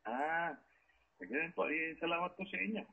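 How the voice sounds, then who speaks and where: A man's voice: fairly high pitch, expressive, moderate pace, gravelly. A Filipino-accented man in a phone conversation, answering briefly, politely and hesitantly. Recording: dull lo-fi phone call recording.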